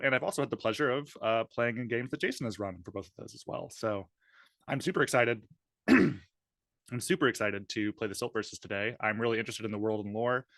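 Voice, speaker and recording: A man's voice: fairly low pitch, fairly bright tone, no echo, studio quality. A man, a podcaster and player, introducing himself casually on an online group call.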